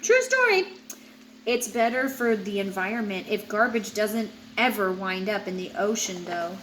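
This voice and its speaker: A woman's voice: medium pitch, expressive, normal speed, fairly bright tone, slight background noise, pitched below the performer's own voice, lightly acted, rough recording. A woman voicing a cocky, garbage-gobbling tiger shark in a children's storybook, bragging matter-of-factly. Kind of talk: children's picture book read-aloud.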